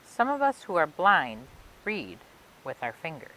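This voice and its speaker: A woman reading a children's picture book aloud for a library storytime, expressively and phrase by phrase with emphatic pauses. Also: sits low, huge pitch swings, bright, forceful tone, rough recording. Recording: clear background noise and hiss.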